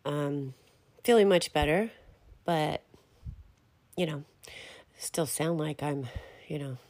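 A woman's voice: low-pitched, takes it slowly, a little mellow. A middle-aged woman hosting her own podcast in a casual, rambling, self-deprecating monologue, a little congested from a recent illness. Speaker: podcast host.

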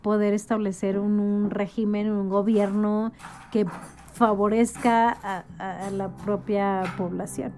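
A woman's voice: medium pitch, level tone, slow, a little mellow, faint music behind. A woman talking as a guest in a casual conversation, explaining things like an informal storyteller and often checking for agreement. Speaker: interview guest.

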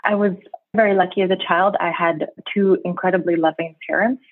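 A woman's voice: on the low side, steady tone, fairly quick, no echo. A woman in her thirties from Brooklyn with an American accent, a guest in a conversational interview, talking thoughtfully and laughing easily. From New York City, Brooklyn. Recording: tinny phone-like call line.

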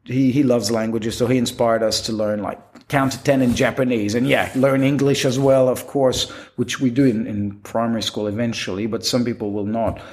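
A middle-aged man speaking English with a Quebec French accent. He is an interview guest who talks loosely and circles back, full of fillers and restarts. His voice sits in an ordinary middle pitch. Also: fairly even intonation, moderate pace, hardly any pauses, a little mellow. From Quebec, Canada. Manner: rambling, many restarts and fillers. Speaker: guest, professional magician. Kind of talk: casual interview chat.

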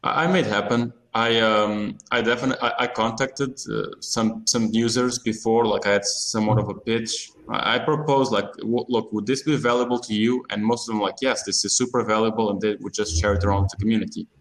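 A man's voice: fairly low pitch, steady tone, a little fast, few pauses, a bit bright, poor audio. A man from Portugal talks informally as an interview guest, often repeating words in stop-and-start phrases. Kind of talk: casual interview talk.

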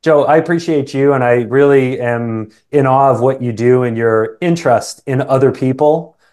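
A man's voice: mid-range voice, moderate pace, darkish tone. A middle-aged man with a Canadian accent, talking earnestly and reflectively as a guest in a casual interview, often checking in with short tag questions. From Canada, born in England. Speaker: interview guest, sales coach.

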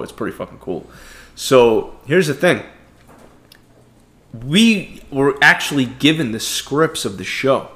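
A man with a thick Brooklyn accent talking in a blunt, heated way. He speaks at a middle pitch and often stumbles over repeated words as he makes his point on a casual podcast. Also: fairly bright tone. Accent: Brooklyn New York. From Brooklyn, New York. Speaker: podcast co-host. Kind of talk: casual opinionated chat.

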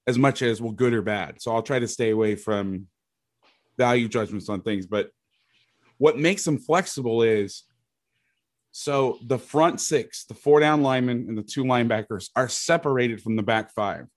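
A middle-aged man, a football coach with a loud voice, talking through defensive schemes in a rambling, casual way, often restarting his sentences. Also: mid-range voice, moderate pace, no echo, studio quality. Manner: rambling, restarts sentences, occasional mild swearing. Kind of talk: casual podcast chat, explaining defensive schemes.

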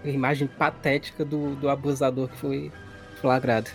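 A man's voice: mid-range voice, little pitch movement, moderate pace, creaky, faint music behind. A man with a Brazilian accent narrating a tabletop role-playing game as game master, colloquial and explanatory.

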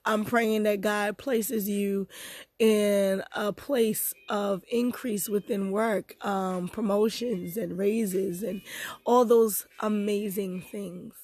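A young woman hosting a personal podcast in casual chat, bubbly and quick to laugh, her voice hoarse from a sore throat. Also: mid-range voice, steady tone, darkish tone. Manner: bubbly, chatty, laughs easily.

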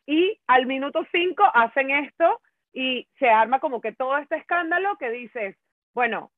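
Brief: expressive woman from Brazil, co-host, dry tinny phone-like sound. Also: high-pitched, normal speed, no room sound. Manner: indignant, expressive. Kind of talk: casual sports chat.

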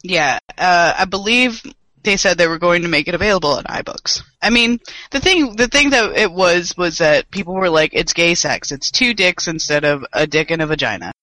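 A man's voice: high voice, expressive, moderate pace, sharp tone, dry recording, poor audio. A young man co-hosting a casual podcast chat, joking and swearing freely, with a dry voice today. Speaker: podcast co-host.

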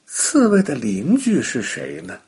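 A man tells a bedtime story to young children. His voice is husky and breathy, and he moves through it slowly with very lively, swooping intonation. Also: mid-range voice. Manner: animated, unhurried, child-directed.

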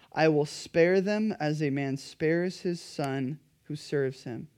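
A pastor preaching a sermon in an earnest, emphatic way, his throat a little dry and raspy. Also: a little high, a little mellow.

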